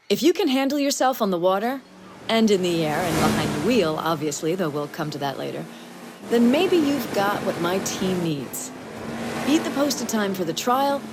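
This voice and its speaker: An actress playing a confident, brisk racing team boss in a video game, self-assured and wry, with a mentoring manner. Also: female voice, medium pitch, heavy background noise, close to an everyday voice. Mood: commanding. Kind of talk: video game cutscene acting.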